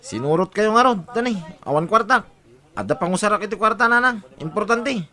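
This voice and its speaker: An actor playing a spoiled, insolent young son who demands money in an Ilocano radio drama, flippant, with an Ilocano accent. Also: male voice, sits high, lively intonation, fairly quick, bright, forceful tone. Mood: wheedling.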